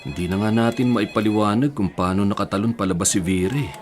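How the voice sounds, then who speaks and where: An actor with a Filipino accent playing a puzzled, earnest, compliant racing team staffer in a radio drama. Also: male voice, lowish voice, fast, lightly acted. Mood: baffled. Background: music.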